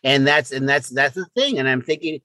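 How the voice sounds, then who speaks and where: A man's voice: medium pitch, lively intonation, fairly quick, sharp tone, no echo. An older man co-hosting a casual podcast chat, stammering and repeating words, often exclaiming. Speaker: podcast co-host.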